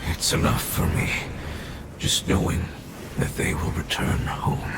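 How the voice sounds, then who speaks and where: An actor playing a dying ancient creature, speaking in a grave, measured and earnest way. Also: male voice, heavy background noise, close to an everyday voice. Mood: weary solemn. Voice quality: very deep gravelly voice. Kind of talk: video game cutscene dialogue. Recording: echoey with music behind.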